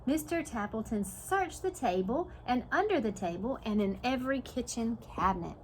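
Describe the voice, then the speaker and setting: Higher-voiced woman reading to preschoolers, noisy background. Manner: animated, pauses to ask young listeners questions. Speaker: preschool teacher reading aloud. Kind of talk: expressive read-aloud of a children's picture book.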